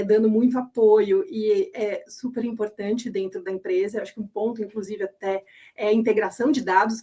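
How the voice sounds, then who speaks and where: A woman's voice: medium pitch, dark tone, breathy edge. Female panelist, Brazilian Portuguese accent, earnest and conversational, self-corrects. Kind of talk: panel remarks.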